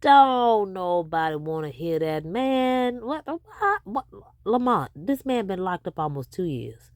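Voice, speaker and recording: A woman hosting reaction commentary, sassy and mocking, with a naturally deep voice that is a little hoarse from a cold. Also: sing-song, darkish tone, no echo, clean studio sound. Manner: sassy, mocking, quick to laugh. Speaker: commentary host.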